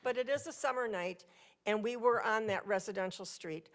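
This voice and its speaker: A woman speaking at a podium microphone in council chambers, telling a personal story during public comment in a loud, chatty voice. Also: medium pitch, normal speed. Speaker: resident speaking at public hearing.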